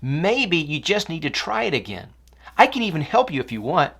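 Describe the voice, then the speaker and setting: A woman voicing a kind, upbeat young schoolgirl in a children's story, speaking with animated encouragement. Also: low voice, normal speed, breathy edge, pitched above the performer's own voice, exaggerated voice. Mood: encouraging.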